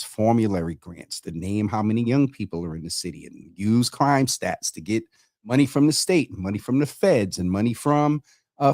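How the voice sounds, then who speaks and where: A man hosting a live community talk show in a conversational, halting way, often repeating words and circling around his point. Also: lowish voice, darkish tone, no room sound, clean studio sound.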